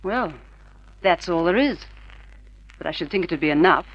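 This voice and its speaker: An actress playing a poised, polite and serious wife in an old radio drama. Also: female voice, on the low side, expressive, normal speed. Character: poised, exasperated wife seeking a detective's help. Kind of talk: mid-century radio drama acting. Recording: muffled vintage radio drama recording.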